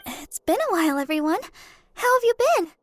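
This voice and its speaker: A woman voicing a gentle, sweet young woman in a video game. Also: extremely high pitch, animated, brisk, faint music behind. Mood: sweet, concerned. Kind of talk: scripted video game dialogue.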